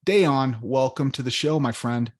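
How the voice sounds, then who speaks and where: A man's voice: mid-range voice, normal speed. Easygoing male podcast host. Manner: friendly, laid-back, with repeats and restarts. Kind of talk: podcast interview hosting.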